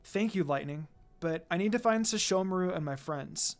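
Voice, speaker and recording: A man voicing a gentle young pregnant woman held captive, speaking softly with weary worry. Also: high voice, moderate pace, faint music behind. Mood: worried gratitude.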